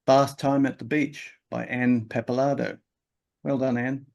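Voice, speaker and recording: A man's voice: medium pitch, measured pace, vocal fry. A man hosting an exhibition, reading out accepted entries steadily with short asides. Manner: plain, steady, reads titles and adds short asides. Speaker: exhibition host. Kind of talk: announcing results.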